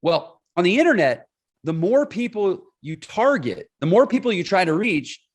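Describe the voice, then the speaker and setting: High-voiced emphatic man in his forties. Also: sing-song, moderate pace. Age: 40s. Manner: emphatic, explains with figures, asks "right?" often. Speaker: podcast guest, entrepreneur. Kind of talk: casual interview talk.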